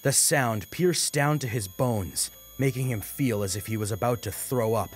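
A man's voice: medium pitch, moderate pace. A man narrating a scripted story dramatically as an audiobook reader, with music behind him.

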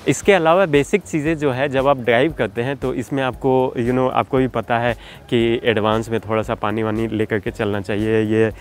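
A man's voice: mid-range voice, steady tone, normal speed, hardly any pauses, a little hiss or hum. Casual man giving car advice. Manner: conversational, frequent "you know" and "okay" fillers, mixes English words into Hindi. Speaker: car vlogger. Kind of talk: casual explanatory vlog talk.

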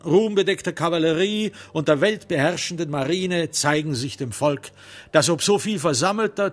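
A man's voice: high voice, fairly bright tone. A man narrating a literary crime radio play in a sardonic, theatrical storytelling manner.

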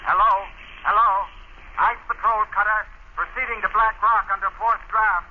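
A man playing a brisk coast guard radio operator heard over a radio transmission in 1930s drama. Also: high voice, fairly even intonation. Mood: urgent, official. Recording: tinny, noisy 1930s radio recording.

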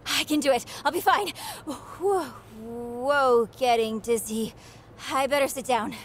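An actress voicing an excitable, nervous young female trainee in a video game. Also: on the high side, takes it slowly, a little hiss or hum. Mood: giddy. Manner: excitable, giggly.

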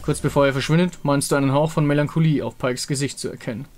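A man's voice: mid-range voice, fairly even intonation, fast talker, darkish tone, slight background noise. A man reading scripted story narration as the narrator of a fantasy tale.

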